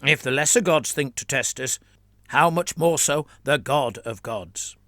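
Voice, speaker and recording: A man's voice: medium pitch, unhurried, a bit bright, no room sound, clean studio sound, close to an everyday voice. A man voicing a stern, zealous senior priest who preaches with earnest insistence. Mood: urgent. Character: stern zealous male priest, pleading for war.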